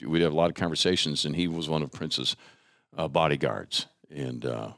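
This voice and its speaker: A man's voice: very deep voice, normal speed, gravelly, a bit bright, no room sound, clean studio sound. An American man hosting a podcast in a casual, conversational interview style. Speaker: podcast host.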